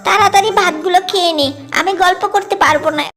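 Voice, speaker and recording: Actor as a high-voiced, scolding village mother. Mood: irritated nagging. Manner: brisk, nagging. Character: impatient, scolding village mother of a young girl. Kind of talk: cartoon story dialogue. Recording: background music.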